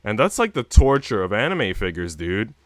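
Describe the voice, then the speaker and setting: A man's voice: fairly low pitch, sing-song, normal speed, dry recording. A man livestreaming, chatting casually with viewers in a rambling, slangy way with casual swearing. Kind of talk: casual chat with stream viewers.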